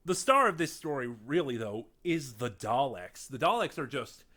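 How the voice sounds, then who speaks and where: Man giving a halting, casual review. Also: medium pitch, big pitch swings, sharp tone. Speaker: audio drama reviewer on a video channel. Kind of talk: casual unscripted review monologue to viewers.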